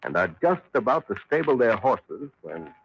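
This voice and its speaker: A man's voice: fairly low pitch, big pitch swings, normal speed, lo-fi sound, background noise. A man with an American accent delivering dramatic scripted narration as an old-time radio announcer.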